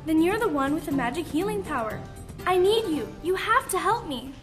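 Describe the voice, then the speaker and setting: Girl playing a very high-voiced, haughty woman surgeon. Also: big pitch swings, normal speed, over music. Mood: desperate, demanding. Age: teenager. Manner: declamatory, dramatic delivery. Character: proud, haughty, desperate woman surgeon seeking healing. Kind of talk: school radio play acting.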